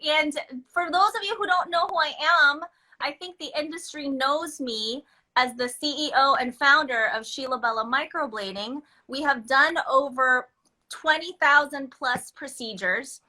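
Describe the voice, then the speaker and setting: A woman in her thirties from the Philippines speaks with a high, bright voice. She chats loosely as an interview guest, laughing often. She talks about her own business and trips over her own sentences. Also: measured pace, poor audio. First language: Filipino. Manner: chatty, laughs often, many restarts. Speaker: guest, beauty business owner. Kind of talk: casual interview chat.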